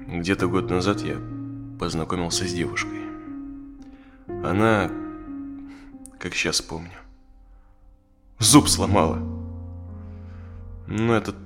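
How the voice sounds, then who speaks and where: Low male voice, conflicted forty-year-old husband, hesitant, confessional, naturalistic audio play, faint guitar music behind. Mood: subdued, hesitant. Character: conflicted married dentist of forty, confiding in a therapist. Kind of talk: naturalistic audio drama dialogue. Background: plucked guitar music.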